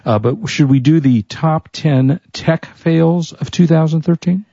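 A man's voice: fairly high pitch, measured pace, vocal fry, mellow, breathy edge, dry recording, lo-fi sound. A man co-hosting a casual tech show and losing his voice, chatting through product picks and tech news. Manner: chatty, offers product rundowns and opinions.

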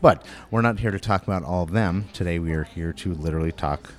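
A man's voice: sits low, fast. A man hosting a podcast in a crowded restaurant, talking in a loose, chatty way and swearing casually. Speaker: podcast host. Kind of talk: casual interview.

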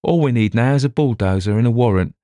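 A man's voice: mid-range voice, fast talker, mellow, no echo, studio quality, voice pushed up, natural voice. A man voicing a lazy, foul-tempered police detective who grumbles and blusters coarsely. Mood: blustering.

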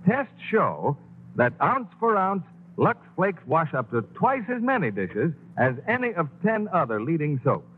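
A man's voice: a little high, wildly swooping pitch, a little slow, slightly rough. A man working as a 1940s radio announcer, reading scripted commercials and announcements. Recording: dull old radio recording, music behind.

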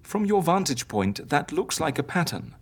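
A woman's voice: low voice, very animated, normal speed, a little hiss or hum. An actress playing a confident, theatrical woman who fakes deaths for clients, lecturing and coaxing in an audio drama. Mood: didactic, philosophical. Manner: didactic, encouraging, philosophical.

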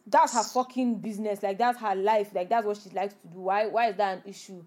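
A woman's voice: medium pitch, fairly even intonation, a little fast, darkish tone. A young woman with a Nigerian accent, co-hosting a podcast in casual chat, blunt and opinionated, dropping Nigerian slang. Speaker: podcast co-host.

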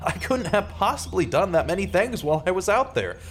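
A man's voice: high voice, sing-song, fairly quick, slight background noise. A man playing an earnest, desperate journalist in a radio theater drama, speaking with urgent, pleading drama. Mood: panicked, pleading. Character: earnest, desperate male journalist wrongly accused of murder.

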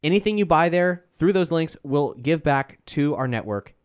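A man's voice: a little high, expressive, moderate pace, smooth. A man hosting a podcast, making casual announcements to listeners in a conversational way. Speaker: host and performer.

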